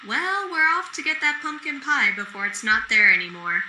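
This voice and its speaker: A woman's voice: high-pitched, big pitch swings, moderate pace. A woman playing a friendly, hospitable mother in an old-time radio drama, with a homely manner. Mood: grateful. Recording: roomy echo, noisy background.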